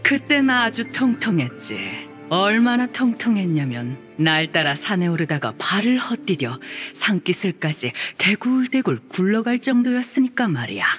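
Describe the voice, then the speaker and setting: A woman's voice: medium pitch, big pitch swings, normal speed, few pauses, close to an everyday voice. An actress playing an ancient, playful female immortal in a game, with stately, archaic phrasing and teasing amusement. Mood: fond storytelling. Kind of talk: Korean game voice acting. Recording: music behind the voice.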